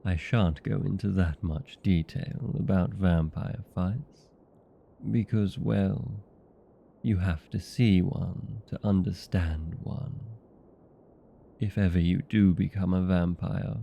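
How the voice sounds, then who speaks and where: A man voicing a courteous, proud old vampire in a comedic audio roleplay, speaking formally and unhurriedly with a gravelly voice. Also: sits low, dark tone, highs cut off, exaggerated voice. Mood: genteel, composed.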